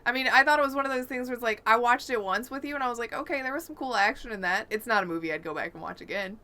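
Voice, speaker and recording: A woman's voice: fairly high pitch, very fast, sharp tone. A woman co-hosting a podcast, giving frank opinions in casual group chat. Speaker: podcast co-host.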